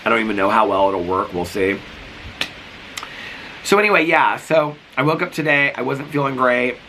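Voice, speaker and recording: A man's voice: mid-range voice, expressive, moderate pace, sharp tone, slight background noise. A middle-aged man vlogging casually while sick, with a cough and a voice that is going. He rambles, filling his talk with "like" and restarts, sitting outdoors. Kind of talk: casual vlog chat.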